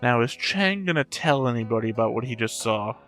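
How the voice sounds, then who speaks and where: A man's voice: mid-range voice, expressive, fairly quick, fairly bright tone, soft background music. A man giving off-the-cuff reaction commentary as a show host, thinking aloud and posing rhetorical questions in a musing way.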